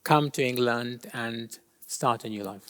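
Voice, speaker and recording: Middle-aged man, raised in Kenya, Gujarati first language, pausing interview guest, mid pitch, echoing church hall. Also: a bit bright. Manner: thoughtful, with many ums and pauses. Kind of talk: interview answers. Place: large church. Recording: long reverb of a large church hall.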